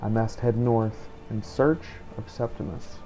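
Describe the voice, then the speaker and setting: Mellow creaky-voiced man narrating. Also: on the low side, steady tone, a little slow, faint music behind. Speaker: gaming video host, player. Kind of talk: scripted narration and in-character roleplay monologue.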